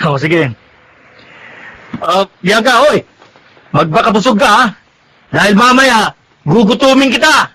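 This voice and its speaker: A man's voice: high-pitched, expressive, measured pace, long pauses, sharp tone, heavily acted, rough recording. A man playing a bossy, teasing, lecherous patron of a mistress in a melodrama. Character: lecherous man paying his demanding mistress. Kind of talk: Tagalog radio-style melodrama acting.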